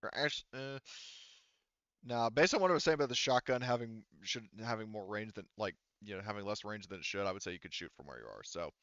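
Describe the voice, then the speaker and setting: A man's voice: on the low side, lively intonation, a little fast, a bit bright, smooth, no room sound, muffled recording. A man running a tabletop roleplaying session as game master, casually explaining rules in a hesitant, thinking-aloud way.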